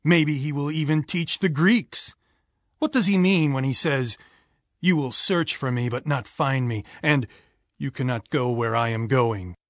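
A man's voice: mid-range voice, a little slow, no room sound, studio quality, pitched above the performer's own voice, lightly acted. A man voicing puzzled, suspicious male religious leaders who ask skeptical questions.

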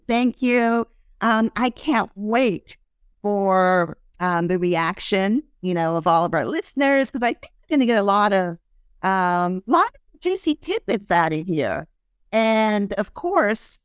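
Chatty woman podcast host, smooth voice. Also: medium pitch, dry recording, studio quality. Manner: chatty, many ums and fillers. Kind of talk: casual interview chat.

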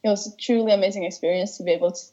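A young woman from Finland chats as an interview guest, eager and warm in tone, often circling back to restart her sentences. Her voice is mellow and soft-edged, and the recording sounds slightly dull and muffled. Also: medium pitch, steady tone, very fast. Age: 20s. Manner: enthusiastic, with frequent restarts and light laughs. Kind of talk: casual interview chat.

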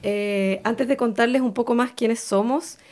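A woman's voice: a little high, measured pace, a little mellow. A woman with a Chilean Spanish accent hosting informal radio, speaking unscripted and hesitantly as she searches for words. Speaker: radio host.

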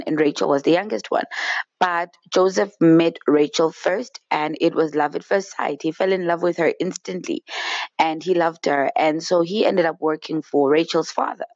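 Low-voiced woman, fluey. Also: normal speed, darkish tone, no room sound, lo-fi sound. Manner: chatty, upbeat, storytelling with faith references. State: fluey and short of breath. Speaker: vlogger. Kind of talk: casual inspirational talk to camera.

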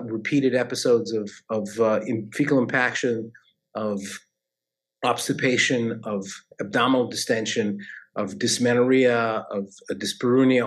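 Guy doctor on a panel, lots of uh and restarts, medium pitch. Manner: halting, many fillers and restarts. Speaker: panelist physician. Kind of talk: panel discussion.

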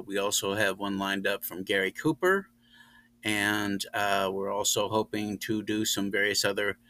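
A man's voice: lowish voice, bright, forceful tone. A man hosting a podcast, speaking casually to listeners. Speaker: podcast host.

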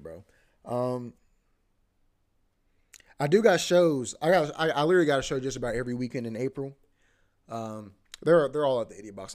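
Laid-back slangy man in his twenties. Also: mid-range voice. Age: 20s. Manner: laid-back, slangy, rambling with many fillers. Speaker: podcast host. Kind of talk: casual solo podcast monologue.

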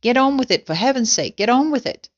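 A woman voicing a bossy, excitable male monkey ringleader in a storybook, giving urgent orders. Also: mid-range voice, moderate pace, lo-fi sound, lightly acted. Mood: frantic, urgent. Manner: urgent, shouted commands.

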